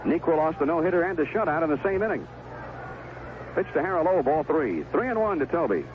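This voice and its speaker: A man's voice: on the high side, very animated, fairly quick, phone-quality sound, noisy background. A man calling a baseball game play by play on a 1970s radio broadcast. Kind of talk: live radio baseball commentary.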